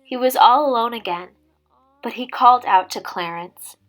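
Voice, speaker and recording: A woman reading a children's story aloud as a teacher would, with dramatic, expressive delivery. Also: a little high, normal speed, rough recording. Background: background music.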